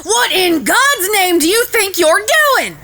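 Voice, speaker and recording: Casting: actress as protective frontier woman for Western audio drama, high and very animated, forthright delivery. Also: measured pace. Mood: indignant scolding. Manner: scolding, emphatic, forthright. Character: fiery, protective frontier woman guarding her young brother.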